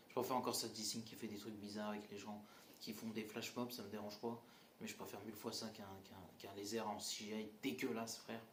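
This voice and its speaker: Male reviewer, casual blunt delivery, mocking asides, roomy echo with faint background noise. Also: on the low side. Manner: blunt, mocking, many fillers and restarts. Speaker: movie reviewer. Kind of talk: casual spoken film critique.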